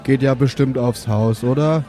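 A man's voice: mid-range voice, normal speed, mellow, soft background music. A man chatting in character as a player in an improvised tabletop role-playing game.

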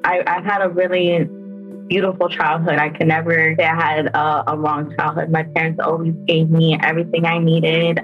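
A woman in her twenties, from El Salvador and raised in the United States, giving a personal account with halting, emotional speech and repeated words. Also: low voice, little pitch movement, highs cut off, faint music behind. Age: 20s. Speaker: interviewee. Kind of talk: personal testimony.

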